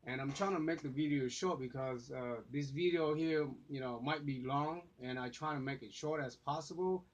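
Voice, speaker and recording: A man's voice: fairly high pitch, normal speed, a little hiss or hum. A man with Vietnamese as his first language, vlogging casually to his phone camera, rambling with many fillers and restarts. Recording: dull lo-fi phone audio.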